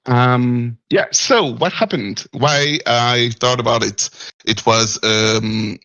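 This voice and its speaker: Guy calling in, raspy fry in his voice, lots of ums, stops to find the right english word. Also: medium pitch, slow pace, fairly bright tone, dry recording, low-quality recording. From Martinique. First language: French. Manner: hesitant, searching for words, chuckles often. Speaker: caller. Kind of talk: call-in discussion.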